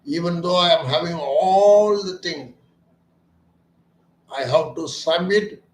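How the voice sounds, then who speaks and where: A man's voice: a little high, slow pace. A man, an ENT doctor explaining his clinic's treatment in an earnest, emphatic way that often repeats its points as questions. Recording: echoey room.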